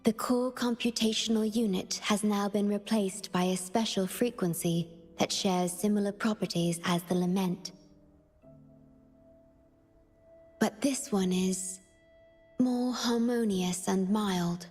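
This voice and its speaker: A gentle young guardian woman from a fantasy game, devoted and soft-spoken. Her delivery is slow and measured, with a husky, breathy softness. Music plays faintly behind her. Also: medium pitch, steady tone. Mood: calm, explanatory. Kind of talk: scripted video game story dialogue.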